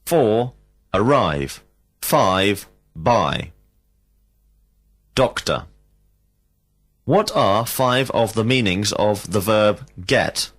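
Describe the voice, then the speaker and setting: Slow, deliberate male English teacher. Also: lowish voice, lively intonation, a bit bright. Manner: slow, carefully enunciated prompts. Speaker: language teacher. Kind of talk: scripted drill questions for English learners.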